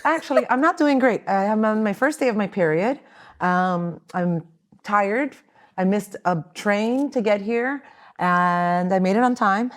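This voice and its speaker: A woman's voice: mid-range voice, unhurried. A woman hosting a casual comedy chat, playful and teasing, laughing often. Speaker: host.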